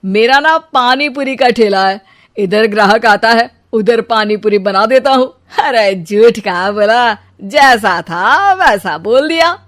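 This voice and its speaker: A woman's voice: a little high, expressive, slow, no room sound. Woman voicing a boastful, slippery young husband, close and dry. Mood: glib, self-justifying. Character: boastful, evasive young husband telling slippery half-truths. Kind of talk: cartoon dialogue, Hindi moral story.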